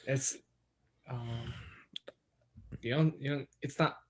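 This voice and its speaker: A man's voice: mid-range voice, steady tone, slow. Young male podcast co-host, hesitant and filler-heavy, casual. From New York, USA. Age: young adult. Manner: hesitant, many fillers and restarts. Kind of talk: casual chat.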